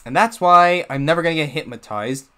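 A man's voice: a little high, fairly quick, pushed and bright, clean tone. A young man giving casual reaction commentary in a rambling way, often repeating and rephrasing himself, recorded in a small room at home. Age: young adult. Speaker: reaction video host.

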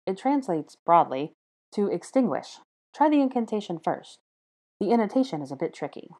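A woman voicing a brisk, bossy, clever young woman teacher, crisp and lecturing. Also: medium pitch, very animated, darkish tone, pitched above the performer's own voice. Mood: brisk teasing.

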